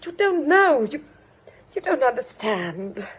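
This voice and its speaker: An actress playing a hysterical, frightened wife in a radio drama, pleading in halting, overwrought phrases. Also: female voice, sits high, very animated, slightly rough, exaggerated voice. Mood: anguished pleading. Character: distraught, hysterical young wife pleading in fear. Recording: dull lo-fi radio drama recording.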